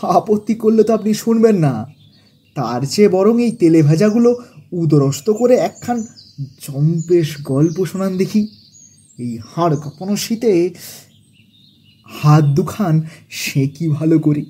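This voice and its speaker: A man's voice: sits high, expressive, measured pace, pauses often, mellow, slightly rough, heavily acted. A man playing a chummy, grumbling office clerk who cajoles and exclaims in colloquial audio drama dialogue. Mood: jovial.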